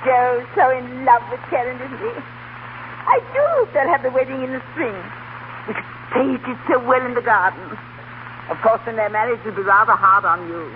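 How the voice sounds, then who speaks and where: An actress playing a fussy, insinuating middle-aged aunt with gushing, theatrical delivery in a 1930s radio drama. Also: female voice, a little high, moderate pace, natural voice. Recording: muffled, tinny 1930s radio broadcast, hissy.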